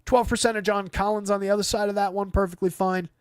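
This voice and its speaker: A man's voice: high voice, steady tone, fast talker, clean tone, no echo. A man hosting a live fantasy basketball stream, talking through analysis off the cuff in a conversational, dry way. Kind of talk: live unscripted sports analysis with chat replies.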